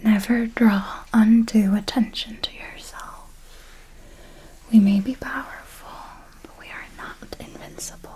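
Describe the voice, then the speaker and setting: A woman playing a refined, predatory vampire mentor in an ASMR roleplay, whispering in a slow, measured, intimate way. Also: on the high side, steady tone, mellow, natural voice, poor audio. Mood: coolly instructive. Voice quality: breathy whisper. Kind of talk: ASMR roleplay, scripted monologue.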